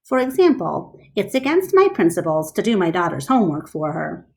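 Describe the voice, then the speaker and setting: A woman's voice: fairly low pitch, sing-song, moderate pace, creaky. A woman reading a storybook, voicing a kindly, patient father with an instructive, correcting manner. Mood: patient, wry. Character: kindly, patient father, adult man, mop-pushing worker.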